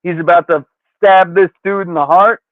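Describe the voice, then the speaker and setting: A man's voice: sits high, fairly even intonation, normal speed, no echo. A man hosting a podcast, casually recapping a story in slangy, profane language, with thin phone-like sound. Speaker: podcast host.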